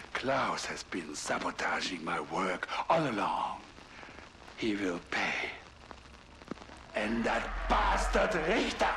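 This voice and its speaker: An actor with a German accent playing an obsessed, sadistic middle-aged Nazi scientist in a video game cutscene, theatrical, grandiose and menacing. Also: male voice, medium pitch, creaky, sharp tone, a little hiss or hum, full character voice. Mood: bitter, vengeful.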